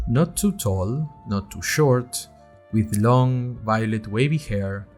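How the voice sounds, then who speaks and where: A man playing a chatty, nervous young college student who narrates his story in a wry, conversational way with dramatic emphasis. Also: lowish voice. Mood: chatty, animated. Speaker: narrator of an audio fiction podcast. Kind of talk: scripted first-person storytelling, diary-like.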